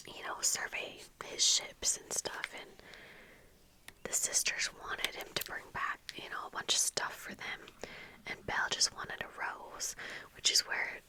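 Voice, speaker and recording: Female ASMR creator, whispered and unhurried, crisp bright hiss to the whisper, casual, halting get-ready chat. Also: poor audio. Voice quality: breathy unvoiced whisper. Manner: casual, halting, meandering storytelling. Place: small indoor room with bookshelves. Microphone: close furry-covered microphone on desk, earphones in.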